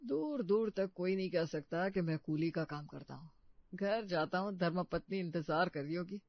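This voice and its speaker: A woman voicing a smooth-talking, evasive young husband in a cartoon story, pleading and placating. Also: fairly low pitch, lively intonation, moderate pace, no echo, lo-fi sound. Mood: self-satisfied.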